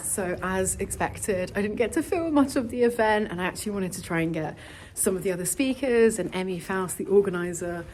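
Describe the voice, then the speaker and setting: A woman's voice: mid-range voice, brisk, darkish tone, a little hiss or hum. A woman, a vlogger and public speaker, talking casually and cheerfully to camera with plenty of "like" and "literally". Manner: chatty and upbeat, fillers like "like" and "literally". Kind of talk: casual to-camera vlog chat.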